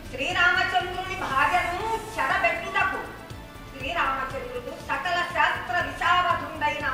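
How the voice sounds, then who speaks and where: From far off in a very echoey space comes a devoted princess acted by a woman, extremely high-pitched. She declaims in formal, drawn-out folk puppet theatre style. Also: pushed and bright, heavily acted. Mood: indignant. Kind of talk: declamatory Telugu folk puppet theatre, stylized old diction. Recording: echoey hall, distant mic, music behind.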